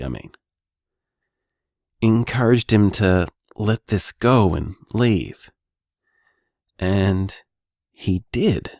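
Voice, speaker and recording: An actor playing a gentle young man who owns a bar and practices magic, leaving chatty, musing voice messages full of hedges and fillers. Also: fairly low pitch, no echo, studio quality, exaggerated voice. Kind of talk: audio drama voice message.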